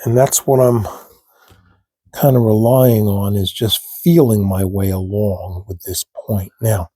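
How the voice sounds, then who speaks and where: A man with a froggy, allergy-rough throat, slowly talking viewers through a model-building step in a casual, halting way. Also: on the low side, fairly even intonation, dark tone, dry recording, clean studio sound. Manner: slow, halting, talks to viewers while working. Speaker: scale model hobbyist demonstrating. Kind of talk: casual hands-on tutorial.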